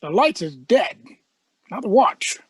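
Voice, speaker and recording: A woman playing an eccentric, shrewd time traveller in a radio sci-fi serial, husky, musing aloud and repeating phrases. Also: mid-range voice, sing-song, a little slow, dry recording, studio quality, full character voice.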